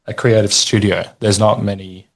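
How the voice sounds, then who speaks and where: A man hosts a casual podcast interview in a low voice. He is upbeat and encouraging, praising his guest's answers before moving on to the next question. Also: level tone, moderate pace, creaky. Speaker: podcast host.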